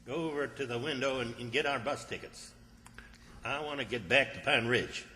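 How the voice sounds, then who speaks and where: A man's voice: medium pitch, moderate pace, sharp tone, lightly acted. A man acting a bossy, exasperated country fellow, scolding and commanding in an old-time radio comedy. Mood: impatient. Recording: hall reverb, distant stage sound.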